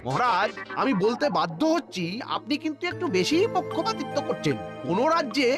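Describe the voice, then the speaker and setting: A man's voice: very high-pitched, sing-song, a little fast, over music. A man playing a scheming, resentful royal minister in a cartoon, with a whiny, indignant, exaggerated delivery. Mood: aggrieved complaining. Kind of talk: animated cartoon dialogue.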